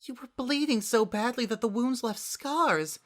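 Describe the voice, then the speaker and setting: A shy young girl, as put on by a narrator, gentle and worried. The voice is pitched well up, soft and wavering, with a timid, pleading delivery suited to a cartoon drama. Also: unhurried, natural voice. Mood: hurt, worried.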